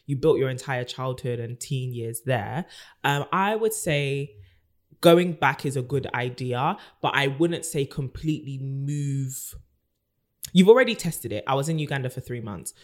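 A woman's voice: a bass voice, lively intonation, a little slow. A young woman co-hosting a podcast in casual chat, laughing often and giving advice at length. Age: young adult. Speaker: podcast co-host.

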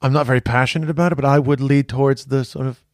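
A man's voice: medium pitch, fairly even intonation, fast talker, no echo, studio quality. A man in his forties with an American accent hosting a podcast in casual chat, rambling with frequent restarts. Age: 40s. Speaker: podcast host.

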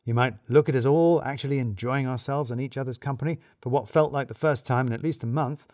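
A man's voice: medium pitch, fast talker, a little mellow, no room sound, studio quality. A man narrating a scripted first-person mystery story in a wry, arch, wordy storyteller's manner.

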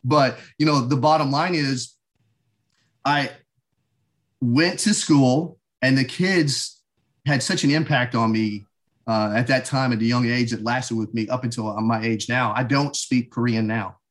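A man's voice: mid-range voice, a little slow. Reflective American man in his forties. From United States. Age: 40s. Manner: reflective, many "you know" fillers. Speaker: guest. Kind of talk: casual interview conversation.

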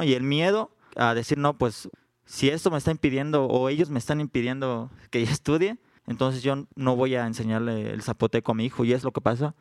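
Hesitant Mexican man, smooth voice. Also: mid-range voice, no room sound, studio quality. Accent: Mexican Spanish. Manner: hesitant, many restarts and fillers. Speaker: band member guest. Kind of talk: live podcast interview.

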